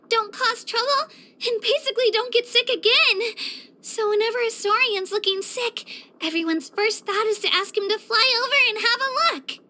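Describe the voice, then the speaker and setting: A woman voicing an earnest, sweet, self-doubting young girl hero in an anime-style fantasy video game. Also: very high voice. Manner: earnest, youthful, gentle.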